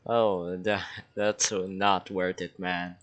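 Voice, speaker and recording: A man's voice: sits low, flat delivery, slow, a bit bright, muffled recording, a little hiss or hum. A man giving casual gameplay commentary, laid-back, sometimes reading dialogue choices aloud. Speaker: gamer.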